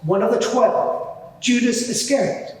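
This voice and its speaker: A man playing an indignant, self-righteous high priest giving pompous, deliberate testimony in a dramatised courtroom inquiry. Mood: measured, disdainful. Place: large courtroom before a live audience. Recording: very echoey hall, distant mic.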